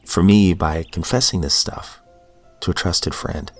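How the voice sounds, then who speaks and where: A man with a very low voice reads recovery texts and then reflects on them in an earnest, unhurried way. He sounds a little sniffly from a cold. Faint music plays beneath him. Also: animated. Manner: earnest, reflective, with fillers and restarts. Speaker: podcast host reading daily recovery texts. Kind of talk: reading aloud then casual reflection.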